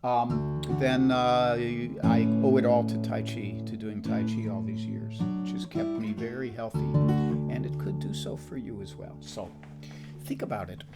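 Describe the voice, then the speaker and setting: A croaky, gravelly older man in his seventies talks in an easy, rambling way, poking fun at himself. His voice sits at a middling pitch, and a loud acoustic guitar sounds behind him as he chats between songs. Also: a little slow. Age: 70s. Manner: rambling, folksy, self-deprecating. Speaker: musician hosting a live stream. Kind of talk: casual chat between songs.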